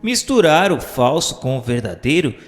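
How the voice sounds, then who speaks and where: A Brazilian man reading formal scripted narration aloud in a measured, declamatory way, like an audiobook. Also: a little high, big pitch swings. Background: music.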